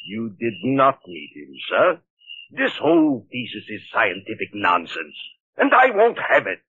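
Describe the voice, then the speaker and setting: An indignant old professor. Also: male voice, lowish voice, huge pitch swings, unhurried, husky, dry recording, heavily acted. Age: older. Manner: indignant, formal. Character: old male professor, defensive and proud. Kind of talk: 1940s radio acting. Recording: muffled old radio recording.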